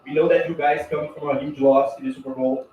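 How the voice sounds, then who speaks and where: A man's voice: medium pitch, flat delivery, a little fast. A man from Brazil, a reporter asking a question hesitantly. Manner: hesitant, searching for words. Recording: dull, echoey, distant sound.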